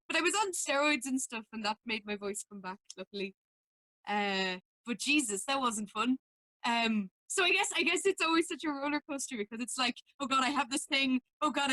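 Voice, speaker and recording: Young irish musician woman, higher bright voice, animated n self-mocking, dry sound. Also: no echo, poor audio. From Mayo, Ireland. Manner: animated storytelling, self-deprecating. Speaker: musician guest. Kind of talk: casual interview chat.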